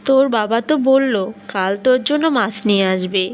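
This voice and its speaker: A woman's voice: a little high, fairly quick, slight background noise, close to an everyday voice. A woman playing a resigned, practical village wife and mother in a fairy-tale cartoon, homely and motherly in manner.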